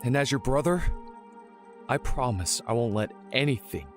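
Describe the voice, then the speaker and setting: An animated man playing a vigilante leader with a middling pitch, driven by revenge and protective of family. It is audio crime drama, with faint music underneath. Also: normal speed, lightly acted. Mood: vengeful resolve.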